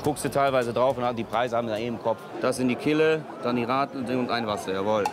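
A man from the Rhineland, a beer hall waiter, talking in a brusque, quick, joking way with guests. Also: mid-range voice. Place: busy beer hall with outdoor seating. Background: music and crowd chatter.

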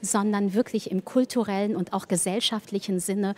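A woman narrating a news report in a measured way. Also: medium pitch, fairly even intonation, slight background noise. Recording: voice-over with soft music.

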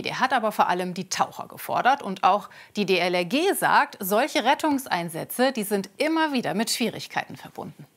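A woman with a mid-range voice hosts a news program. She interviews a guest and moderates the segments in a brisk, conversational way. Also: medium pitch. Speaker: news presenter.

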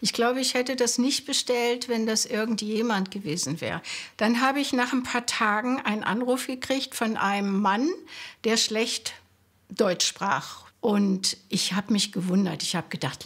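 A woman in her eighties giving a personal account in an interview, in a deliberate, hesitant way. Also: fairly high pitch, slightly rough. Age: 80s. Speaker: interviewee, physician.

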